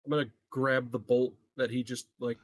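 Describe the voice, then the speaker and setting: A man's voice: mid-range voice, little pitch movement, normal speed, dry recording, studio quality. A man chatting casually as a player in a tabletop roleplaying game, with a wry, informal, tentative manner.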